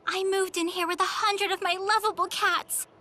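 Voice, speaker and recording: A woman's voice: very high-pitched, steady tone, moderate pace, sharp tone. A woman playing a theatrical, helpless cat-loving lady in a video game, gushing and melodramatic. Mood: dramatic distress. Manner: gushing, melodramatic, pun-laden.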